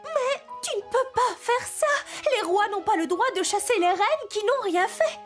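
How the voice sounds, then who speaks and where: A woman's voice: very high voice, lively intonation, moderate pace. A woman playing a brave, tender queen in a French children's cartoon, affectionate and earnest. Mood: pleading. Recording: children's music behind the voice.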